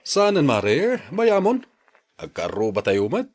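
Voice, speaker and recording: A man's voice: a little high, wildly swooping pitch, takes it slowly, exaggerated voice. A man with an Ilocano accent playing a patient, agreeable husband and jeepney driver in a radio drama. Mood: cheerful, apologetic.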